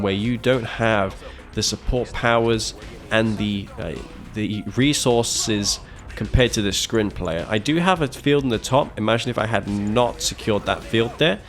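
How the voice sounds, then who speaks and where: A young man giving casual, animated play-by-play commentary on a strategy match he played, with frequent fillers and restarts. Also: lowish voice. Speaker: video game caster commentating his own match.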